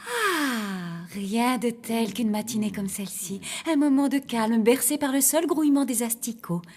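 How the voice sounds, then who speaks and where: Posh-ish morbid zombie mom, cartoon, high voice all over the place. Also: female voice, expressive, unhurried, a little mellow, slightly rough. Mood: dreamy contentment. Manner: genteel, theatrical. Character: genteel, morbid zombie mother running a hotel. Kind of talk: French cartoon dub acting. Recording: music behind the voice.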